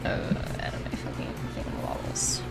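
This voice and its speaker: Casual, sweary woman streaming over music. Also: normal speed. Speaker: game streamer. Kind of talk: casual chat while streaming a video game. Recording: music in the background.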